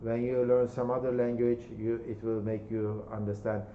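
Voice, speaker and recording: A man's voice: medium pitch, little pitch movement, normal speed, slight background noise. Turkish-accented man lecturing in an echoey room. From Turkey. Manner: repeats words, checks in with "okay?" and "right?". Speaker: university lecturer. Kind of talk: classroom lecture. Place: classroom. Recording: dull, echoey lecture hall recording.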